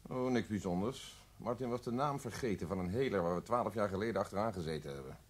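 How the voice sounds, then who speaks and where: Dry detective with a husky voice. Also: male voice, fairly low pitch, quick pace. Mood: matter-of-fact. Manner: dry, understated. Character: dry, teasing, unruffled male police detective. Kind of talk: Dutch radio drama acting.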